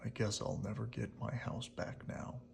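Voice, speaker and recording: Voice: mid-range voice, fairly even intonation, normal speed, gravelly, noisy background. A storyteller voicing an old male wolf from a picture book, homesick, whiny and prone to tantrums, often half-whispered. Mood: ashamed. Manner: pleading and plaintive.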